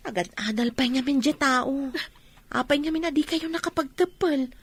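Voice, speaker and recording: A woman's voice: high-pitched, normal speed, close to an everyday voice. A woman playing a concerned young confidante in a radio drama, asking probing questions.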